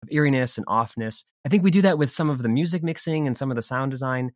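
A man talks quickly and enthusiastically in a casual interview. His voice sits in a medium register and the sound is dry, with very little room on it. He comes across as an effusive creative guest. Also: medium pitch, no echo. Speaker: audio drama creator, podcast guest. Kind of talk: conversational interview.

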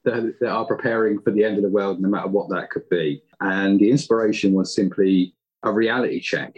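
A man's voice: lowish voice, a little fast, darkish tone, breathy edge, muffled recording. A man with a British accent chatting casually as an interview guest. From United Kingdom. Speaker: podcast writer and guest.

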